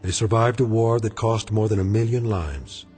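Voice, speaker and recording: A man narrating a radio drama in a solemn, grave and measured way. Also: fairly low pitch, a little mellow, faint music behind.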